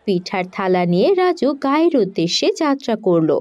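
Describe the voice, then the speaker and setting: A woman's voice: medium pitch, very animated, a little fast, a little mellow, highs cut off. A woman reading scripted narration as the narrator of a children's story. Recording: music behind the voice.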